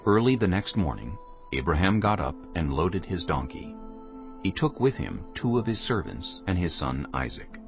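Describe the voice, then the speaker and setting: A male narrator reads scripture in a very low, lively-contoured voice. His storytelling is solemn and clearly phrased. Soft music sits behind a slightly dulled recording. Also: moderate pace, lo-fi sound. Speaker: Bible narrator.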